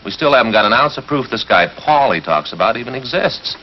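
An American actor playing a sceptical, impatient police detective in a 1950s TV drama, with a blunt, challenging manner. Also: male voice, mid-range voice, expressive, brisk, natural voice. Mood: exasperated. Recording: muffled, slightly noisy 1950s TV soundtrack.

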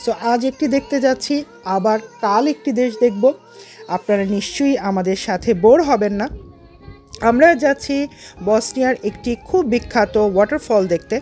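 A woman in her thirties with a Bengali accent talks in a bright, slightly high voice. She narrates a road trip from inside a car, recorded on a handheld phone, and speaks straight to her viewers. Soft music plays underneath her voice. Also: normal speed. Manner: chatty, addresses viewers directly. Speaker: travel vlogger. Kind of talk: casual travel vlog narration.

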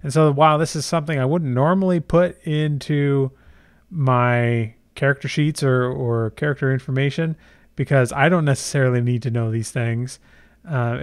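A middle-aged man hosting a casual software tutorial, explaining conversationally and thinking aloud as he goes. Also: mid-range voice, clean tone. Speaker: tutorial host.

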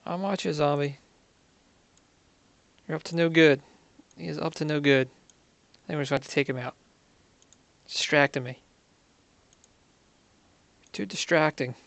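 Gravelly man muttering over gameplay. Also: fairly high pitch, fairly even intonation, unhurried, long pauses, a bit bright, no echo. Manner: muttering to himself, counting under breath, half-whispered asides. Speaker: gaming video creator. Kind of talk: casual gameplay commentary.

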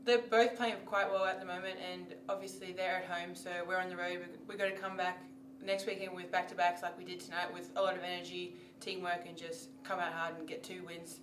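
A young woman basketball player answers post-game questions modestly and plainly. Her voice sits low and stays flat. The mic is some distance away in an echoey room with clear background noise. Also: brisk, hardly any pauses. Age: young adult. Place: indoor press backdrop.

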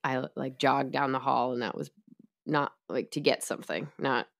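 A woman with a hoarse, tired voice, chatting casually as a podcast co-host and laughing often. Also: very deep voice, moderate pace. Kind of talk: casual chat.

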